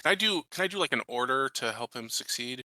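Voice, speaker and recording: A man playing a tabletop game, chatting casually and thinking aloud in a tentative, halting way. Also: mid-range voice, expressive, pushed and bright, dry recording, rough recording.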